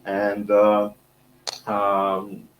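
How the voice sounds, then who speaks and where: A man with an Italian accent speaks on a conference panel in a lowish voice, with many halting "uh" pauses. The sound is echoey with a faint hum underneath. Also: completely flat delivery, lo-fi sound. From Italy. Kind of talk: panel discussion.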